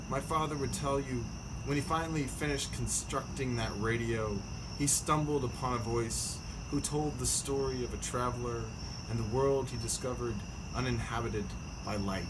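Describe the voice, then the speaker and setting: A man hosting and speaking as a poet, moving between reading aloud and casual talk. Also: lowish voice. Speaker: poet and podcast host. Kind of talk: poetry reading and casual chat. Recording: noisy recording with loud night insects. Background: crickets and insects.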